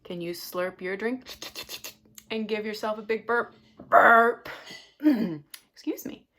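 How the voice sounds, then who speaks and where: A woman reading a picture book aloud to children, expressive, with gasps and chatty asides. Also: mid-range voice, takes it slowly. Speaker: storybook reader.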